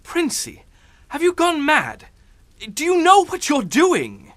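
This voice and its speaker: A frightened sea captain protests haltingly in a radio thriller. He is slow and stammering, indignant but giving way, his pitch leaping wildly, in a dry studio. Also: huge pitch swings, a bit bright, no echo, exaggerated voice. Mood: horrified. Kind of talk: suspense radio drama acting.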